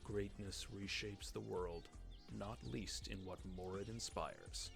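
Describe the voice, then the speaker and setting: Man acting a gravelly, solemn fantasy storyteller. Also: fairly low pitch, steady tone, over music. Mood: solemn wonder. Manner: solemn, measured, hushed at times. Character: grave, mournful storyteller of an ancient fantasy world. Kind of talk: slow dramatic reading of fantasy game text.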